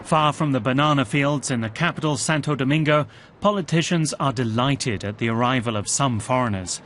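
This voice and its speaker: A man narrating a television news report in a measured, scripted voice, also voicing translated quotes. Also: mid-range voice, slight background noise. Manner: measured, even reporting delivery.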